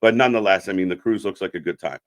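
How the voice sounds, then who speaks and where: Low-voiced man hosting a casual chat. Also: rapid-fire. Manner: informal, often repeats short affirmations. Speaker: host of a wrestling fan channel.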